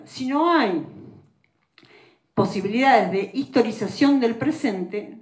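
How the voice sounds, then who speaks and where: A woman's voice: on the high side, dark tone. Lady giving a lecture, reflective, keeps asking "right?", echoey hall. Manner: reflective, frequent tag questions. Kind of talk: academic public lecture.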